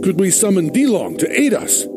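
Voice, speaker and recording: A man's voice: high-pitched, very animated, normal speed. A man playing a resolute, earnest soldier who leads his troops in a video game, commanding and declarative. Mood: concerned. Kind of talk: video game cutscene acting. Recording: loud background music.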